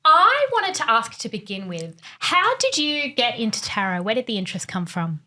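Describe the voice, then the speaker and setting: A woman hosting a podcast, animated and upbeat as she interviews her guests. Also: fairly high pitch, moderate pace. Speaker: podcast host. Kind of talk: casual podcast chat.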